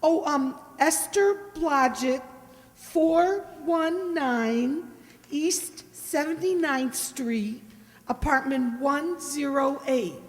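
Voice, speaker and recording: Very high-pitched, flustered schoolteacher. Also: female voice, drawn-out words. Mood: nervous. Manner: breathless dithering, fretful. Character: flustered, prim female schoolteacher client, nervous and dithering. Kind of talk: old-time radio detective drama acting. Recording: reverberant hall, stage-like echo.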